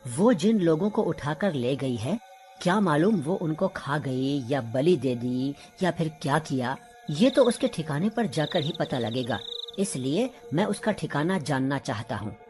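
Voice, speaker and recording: A woman voicing a bold old male mystic who hunts witches, calm, confident and commanding. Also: low voice, measured pace, over music, pitched below the performer's own voice. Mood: reassuring, resolute. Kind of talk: dramatic children's moral story acting.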